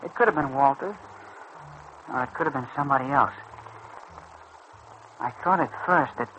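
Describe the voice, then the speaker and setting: An actor playing an earnest, boyish teenage lad, tender and proud, in a mid-century radio soap style. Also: male voice, mid-range voice, moderate pace, sharp tone, lightly acted. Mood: reassuring, bashful. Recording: muffled, noisy old radio recording.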